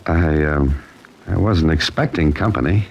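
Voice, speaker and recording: Very deep-voiced, wry radio sleuth. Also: male voice, measured pace, lo-fi sound, a little hiss or hum. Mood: wry, defiant. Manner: dry, wisecracking, clipped. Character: wry, cool-headed male amateur sleuth narrating his case. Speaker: narrator and lead character. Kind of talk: old-time radio mystery acting, hardboiled first-person narration.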